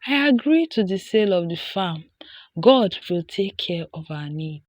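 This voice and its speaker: A woman's voice: on the low side, expressive, a little slow, dry recording, dull audio. A woman voicing a distraught, pleading village mother in a folktale. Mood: sorrowful resolve. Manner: emotional, pleading. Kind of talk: acted folktale storytelling.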